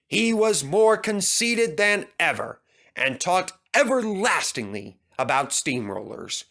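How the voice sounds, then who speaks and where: A man's voice: sits high, very animated, takes it slowly, gravelly, bright, forceful tone. A man reading a scripted children's story as narrator.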